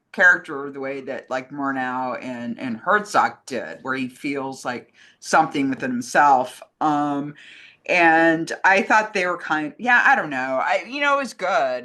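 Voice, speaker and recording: A woman's voice: low-pitched, bright, forceful tone. A woman co-hosting a casual film discussion, giving opinions in loose, trailing phrases. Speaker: co-host, film foundation communications director.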